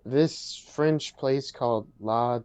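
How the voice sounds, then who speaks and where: A man's voice: mid-range voice, slow, a little mellow, smooth, close to an everyday voice. A man playing an awkward, chatty young man in a casual dramatic reading, hesitant and stammering. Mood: flustered, friendly. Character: awkward, chatty young man named Bartram on a blind date.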